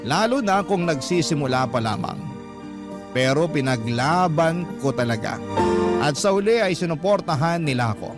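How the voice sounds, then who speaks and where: A Filipino-accented man narrates a first-person love story in radio storytelling style. His voice sits a little high, over loud instrumental music. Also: expressive, normal speed. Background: prominent instrumental music.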